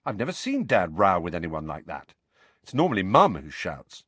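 A man's voice: sits low, wildly swooping pitch, moderate pace, fairly bright tone, slightly rough, dry recording, exaggerated voice. A man voicing cheeky, irreverent teenage twin brothers who gossip, in an audiobook. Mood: confiding.

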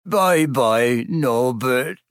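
A man voicing a big, soft-hearted, tearful gamekeeper who puffs and speaks in a muffled voice. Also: a little high, lively intonation, unhurried, vocal fry, slightly rough, dry recording, studio quality, heavily acted. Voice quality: puffing, muffled.

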